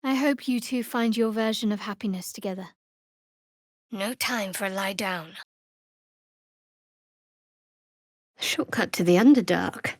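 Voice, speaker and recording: A woman voicing a guarded, sardonic young cleric of a dark goddess in a video game, with a dry, measured, clipped delivery. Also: medium pitch, dry recording, natural voice. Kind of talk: video game voice acting, companion dialogue and battle barks.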